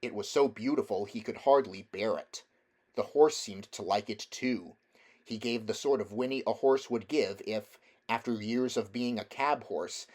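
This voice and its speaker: A man reading a children's novel aloud as a narrator, in a measured, deliberate storytelling manner. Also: fairly low pitch, darkish tone. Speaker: audiobook reader.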